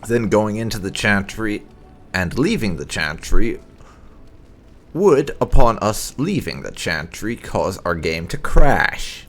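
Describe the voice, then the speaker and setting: Low, creaky man doing game commentary. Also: animated, slow pace, a bit bright. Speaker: gaming channel host. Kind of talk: let's play commentary.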